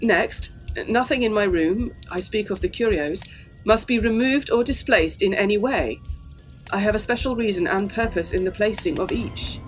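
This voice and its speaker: A well-bred young Edwardian lady, played by a woman in a gothic audio drama. She sounds anxious and earnest, with polite, formal phrasing in a medium pitch. Music plays behind her. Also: normal speed, close to an everyday voice, low-quality recording. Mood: solemn reading.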